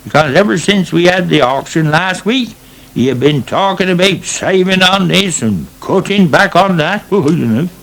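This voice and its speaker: An actor playing an older, proud, grumbling farm worker with a rustic English West Country accent in a radio soap. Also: male voice, high voice, lively intonation, normal speed, creaky, a bit bright, heavily acted, poor audio. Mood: aggrieved.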